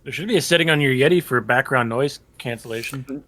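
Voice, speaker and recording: Bright-voiced man giving tech tips. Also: medium pitch, brisk. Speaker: player. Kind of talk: casual chat.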